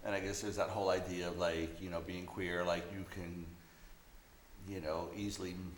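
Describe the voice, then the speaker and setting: Man, deep voice, casual chat, hesitant loose phrasing, roomy echo, faint background noise. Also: flat delivery, normal speed. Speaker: interview guest, illustrator. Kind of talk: casual chat, recounting memories.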